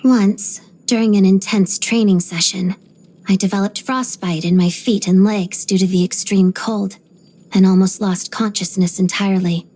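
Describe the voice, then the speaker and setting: An actress voicing a reserved, stoic young ballerina in a video game, speaking softly and breathily in a measured, restrained way. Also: female voice, medium pitch, steady tone, lightly acted. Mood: calm, reflective. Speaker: video game character voice actor. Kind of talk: scripted game voice lines, introspective monologue.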